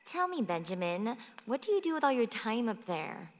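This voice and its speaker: A woman's voice: a little high, sing-song, normal speed. An actress playing a curious, casual young woman in a radio play. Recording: very echoey reverberant room or hall.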